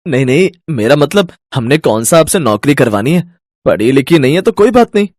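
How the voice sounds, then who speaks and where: A man's voice: fairly high pitch, big pitch swings, brisk, darkish tone, no room sound, clean studio sound. A man playing cheeky young men of a family comedy story, in an exaggerated, teasing manner. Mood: flustered. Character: young Indian men: cheeky suitor, groom, teasing brother-in-law.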